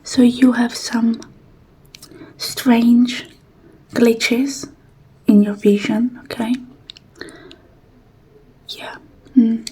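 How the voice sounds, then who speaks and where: A woman whispering softly and breathily in an ASMR roleplay as a technician, with a gentle, reassuring and unhurried manner. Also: fairly high pitch, steady tone, slightly rough, rough recording.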